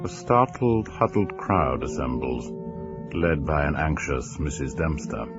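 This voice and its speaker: Man acting sinister storyteller, bass voice, solemn, suspenseful, horror narration, muffled, loud music behind. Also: big pitch swings, heavily acted. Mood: ominous. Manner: solemn, suspenseful, deliberate pauses. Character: grave, sinister narrator of a gothic horror tale. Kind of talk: scripted gothic horror narration.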